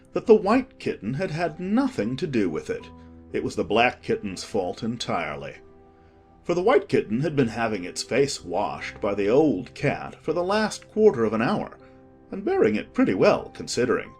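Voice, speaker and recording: A man narrating a children's audiobook in an expressive, measured storytelling manner. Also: mid-range voice.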